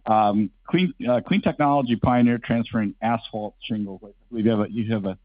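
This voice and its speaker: A man moderating a conference session, introducing the company and asking questions briskly. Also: fairly low pitch, no echo. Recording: dry, dull lo-fi sound.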